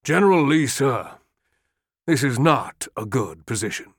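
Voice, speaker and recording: A weary general presses his case earnestly and with insistence, as a male narrator voices him. He speaks slowly. The voice is close and dry. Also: mid-range voice, animated, no room sound. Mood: urgent.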